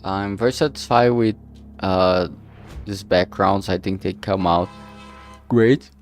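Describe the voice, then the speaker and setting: A man livestreaming casually while he works, talking in a halting, distracted way that trails off mid-sentence. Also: deep voice, fairly even intonation. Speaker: streamer and visual novel creator. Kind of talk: casual solo livestream chat while working. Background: background music.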